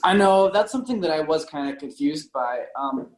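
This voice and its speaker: A man's voice: on the high side, animated, moderate pace. A man co-hosting a podcast in a casual film discussion, recorded with an echoey, roomy sound. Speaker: podcast co-host.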